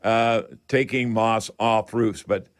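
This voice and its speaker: A man hosting a radio call-in show, giving home improvement advice in a halting, folksy, rambling way. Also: fairly low pitch, fairly even intonation. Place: radio studio.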